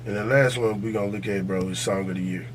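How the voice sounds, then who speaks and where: A man with a fairly deep voice and an American accent hosts a casual, argumentative podcast. He talks in slang and profanity, pressing his opinions insistently. A clear hum and room noise sit under his voice. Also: fairly even intonation, quick pace. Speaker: podcast host. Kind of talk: casual chat and debate.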